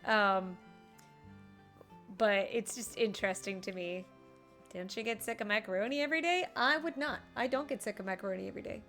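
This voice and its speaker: A woman game streamer giving casual live reactions, playful and expressive, talking back to the characters. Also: medium pitch, a little fast. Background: video game music.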